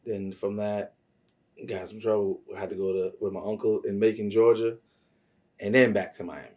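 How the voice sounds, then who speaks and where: A man from Trinidad speaks in a low, very mellow voice, sitting somewhat back from the microphone. He rambles through casual interview answers with slang and loose swearing. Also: steady tone, normal speed, far from the mic. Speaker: podcast guest, music producer and songwriter.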